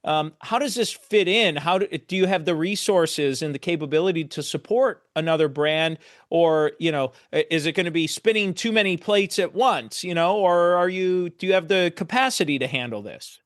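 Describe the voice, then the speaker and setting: Man, slightly high voice, dry sound, webinar interviewer, conversational, halting, roundabout phrasing. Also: dry recording.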